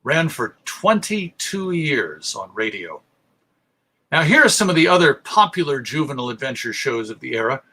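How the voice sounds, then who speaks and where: A man's voice: mid-range voice, sing-song, moderate pace, pushed and bright. A middle-aged American man giving a history lecture as presenter, articulate, with storytelling emphasis. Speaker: presenter, radio show host.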